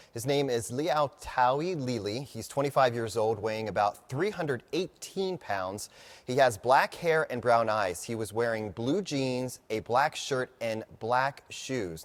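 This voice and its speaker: An American man presenting news alerts and updates on television in a scripted broadcast delivery. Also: mid-range voice.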